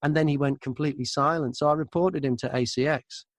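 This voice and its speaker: A man's voice: fairly high pitch, fairly even intonation, quick pace, no echo, clean studio sound. A man who narrates audiobooks, chatting casually as an interview guest and acting out his thoughts and messages as he tells stories.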